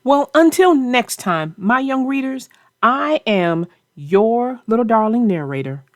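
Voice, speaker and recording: A woman hosting a children's read-aloud show, chatting about a book with young readers in deliberate, emphatic phrases. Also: on the high side, expressive, noisy background.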